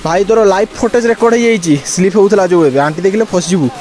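A man's voice: high voice, fast, a little mellow, faint music behind. A young man from Odisha gives casual travel-vlog commentary outdoors into a handheld phone, mixing in English phrases and repeating himself for emphasis. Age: young adult. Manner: mixes English phrases into his talk, repeats warnings. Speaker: travel vlogger. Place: outdoors, steep forest trail. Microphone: handheld phone at arm's length.

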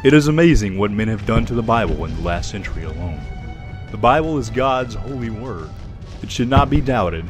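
A man's voice: fairly low pitch, lively intonation, fairly quick, music in the background. A man giving scripted narration and credits in an earnest, declarative manner.

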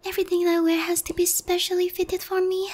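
A woman's voice: very high voice, flat delivery, brisk, darkish tone. A woman playing a naive, sheltered young harpy noblewoman in a roleplay ASMR, polite, hesitant and earnest in a girlish way. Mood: frustrated, wistful.

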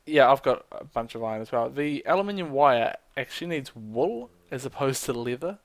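A young man chatting casually while playing a game with a friend, reacting quickly. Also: medium pitch, lively intonation, no room sound. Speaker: gamer. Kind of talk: casual let's play chat.

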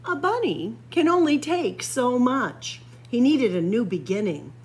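A woman reading a children's picture book aloud expressively, with dramatic pauses and drawn-out emphasis. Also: fairly high pitch, very animated, a little hiss or hum. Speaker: library story-time reader. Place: library room with bookshelves.

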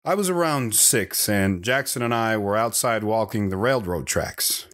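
A man's voice: fairly low pitch, animated, a little slow, fairly bright tone. A man playing a wanderer recounting his hard life in first-person audio drama narration. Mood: reflective.

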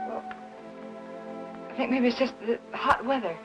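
An actress playing a gentle, anxious housewife in a 1950s Hollywood film, polite and hesitant, with an American accent. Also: female voice, fairly high pitch, a little slow, muffled recording, lightly acted. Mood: worried, hesitant. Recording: old film soundtrack with music.

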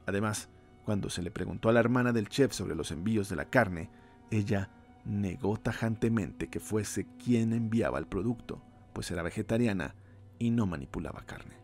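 Male horror narrator, gravelly, mid-pitched, slow ominous read over faint music. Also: darkish tone.

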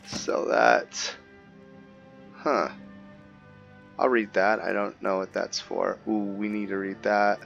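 A man giving casual, low-key gameplay commentary as a channel host, thinking aloud as he plays. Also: little pitch movement, slow, vocal fry, pauses often, fairly bright tone. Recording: slightly dull sound, faint game music. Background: game music and character voices.

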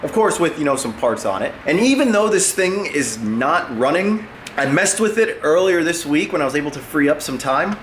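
A man in his twenties, sick and sounding a bit deeper than usual, chatting upbeat to a handheld camera in his home garage. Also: expressive, normal speed, slight background noise. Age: 20s. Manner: chatty and upbeat, joking with a friend. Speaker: car vlogger. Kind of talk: casual vlog talk to camera. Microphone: handheld camera close to face.

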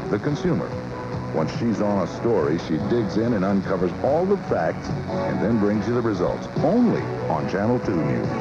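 An American man reading a dramatic, punchy news promo as a commercial announcer. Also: low-pitched. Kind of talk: 1980s TV news promo voice-over. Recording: muffled AM radio ad on old cassette. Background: loud music.

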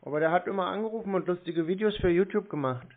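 A man's voice: high-pitched, fast. A man chatting casually on the telephone as a prank caller, unflappable and dogged, with an air of feigned innocence. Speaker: prank caller to scam call centres. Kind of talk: casual phone chat. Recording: narrow-band phone line.

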